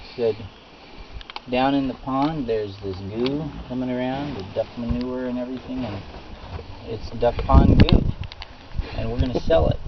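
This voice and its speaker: Man chatting casually over loud outdoor noise. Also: fairly low pitch. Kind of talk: casual chat and storytelling. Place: outdoors, back of a pickup by a creek. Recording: very noisy, muffled outdoor amateur recording.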